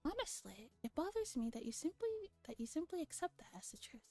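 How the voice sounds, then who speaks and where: A woman's voice: sits high, very animated, fairly quick, a little mellow, soft background music, voice pushed up. A woman voicing a condescending, self-assured internet theorist in a visual novel, with a lecturing manner. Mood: patient condescension.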